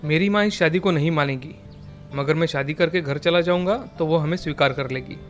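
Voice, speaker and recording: A man's voice: a little high, brisk, faint music behind, natural voice. A man playing an earnest, principled young well-off suitor and son in a story, speaking firmly and sincerely. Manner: earnest, firm. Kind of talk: Hindi moral story dialogue.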